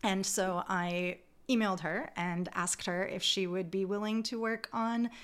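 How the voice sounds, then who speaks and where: A woman's voice: mid-range voice, fairly even intonation, unhurried, fairly bright tone, smooth. A woman speaking as an academic interview guest, explaining her field in an articulate, conversational way.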